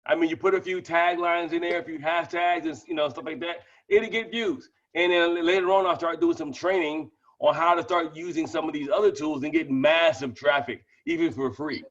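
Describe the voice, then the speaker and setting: A man with a small lisp and a stutter, talking excitedly and fast as he pitches a business opportunity to people on a group call. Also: dull audio. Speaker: presenter of a network-marketing training call.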